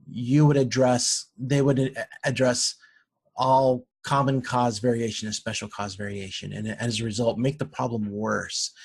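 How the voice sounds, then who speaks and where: Husky-voiced man giving an informal lecture. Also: medium pitch. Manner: halting, rephrases and repeats as he explains. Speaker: lecturer in operations management. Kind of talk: informal recorded lecture with explanations and examples.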